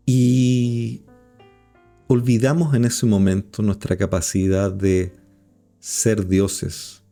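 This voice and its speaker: Low, mellow man musing aloud. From Chile. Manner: measured, musing, with rhetorical questions and hums. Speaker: podcast host. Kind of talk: reflective monologue.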